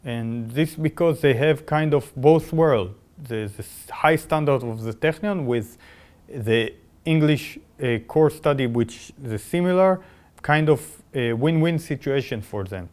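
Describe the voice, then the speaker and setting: Male academic, Israeli accent, mid-pitched, enthusiastic podcast conversation with non-native phrasing. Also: measured pace, darkish tone. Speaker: biotechnology professor.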